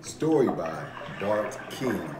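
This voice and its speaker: Man, thirties, low voice, expressive measured storytime reading, animated intonation, picture book narration. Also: noisy background. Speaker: storytime reader, host. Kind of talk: scripted children's picture book read-aloud. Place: indoor room. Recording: roomy echo. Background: music.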